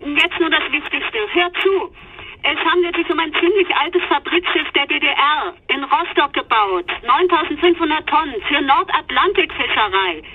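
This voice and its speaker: An actress playing a businesslike investigator in a radio drama, curt and impatient, heard through a telephone filter. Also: female voice, high voice, expressive, normal speed. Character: brisk, businesslike female investigator colleague. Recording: tinny bad phone line.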